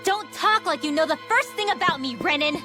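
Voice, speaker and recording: Guarded young woman, high voice. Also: lively intonation, brisk. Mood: angry. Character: guarded young village woman with secret powers. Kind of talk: video game cutscene acting. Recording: music behind.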